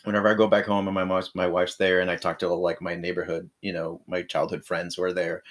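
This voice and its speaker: A man from northern Mexico, appearing as a guest in a casual podcast conversation. His voice sits fairly low, and he talks loosely with many filler words and easy chuckles. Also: fast. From Rosarito, northern Mexico. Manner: relaxed, lots of fillers, chuckles. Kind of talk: casual chat.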